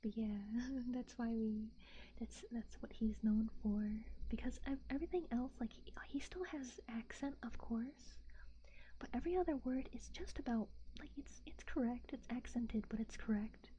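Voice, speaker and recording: A young woman from the United States whispering in a soft, rambling ASMR chat, with fillers and little giggles. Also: on the high side, normal speed, highs cut off, slight background noise.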